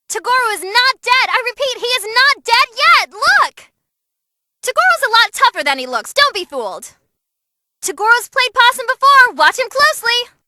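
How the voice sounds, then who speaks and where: Squeaky, excitable young demon girl announcer. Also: no room sound, heavily acted. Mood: astonished. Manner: bubbly, cheeky, dramatic. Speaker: fight commentator. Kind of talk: animated fight commentary.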